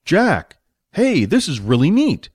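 A man's voice: a little high, wildly swooping pitch, slow, a little mellow, breathy edge, no echo, clean studio sound, pitched above the performer's own voice, heavily acted. A man voicing an earnest, naive young barbarian. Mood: curious, pleased. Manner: earnest, simple, polite. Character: big, naive, good-natured young male barbarian. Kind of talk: audiobook character voice.

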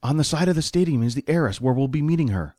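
A man's voice: a little high, lively intonation, fast, warm tone, no echo, studio quality, pitched above the performer's own voice. A man voicing a pragmatic, money-minded hired hand in a fantasy audiobook, explaining things in impatient colloquial talk. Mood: secretive.

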